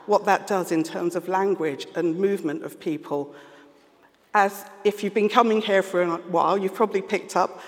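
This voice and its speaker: A man with a London accent preaching a sermon, earnest and measured, pausing often as he reflects. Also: high voice. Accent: London English. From London, England. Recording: long reverb of a large hall.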